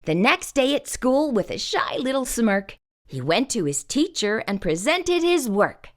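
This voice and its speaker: A woman hosting storytime, narrating a children's picture book in an animated read-aloud style. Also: fairly high pitch, unhurried. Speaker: storytime host and reader.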